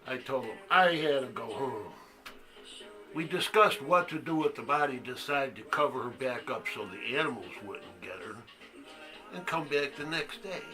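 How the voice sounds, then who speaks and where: A man's voice: medium pitch, lively intonation, moderate pace, over music, close to an everyday voice, poor audio. An actor playing a crude, haunted man who halts and confides as he tells a story in a stage monologue. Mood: confiding, intense. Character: crude, haunted man recounting a boyhood secret. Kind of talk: one-act monologue, storytelling.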